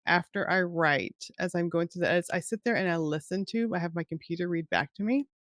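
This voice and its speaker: A woman's voice: on the low side, brisk, fairly bright tone, no echo, clean studio sound. A middle-aged woman hosting a video-call interview, chatty and gushing about books. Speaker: host. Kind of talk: casual interview chat.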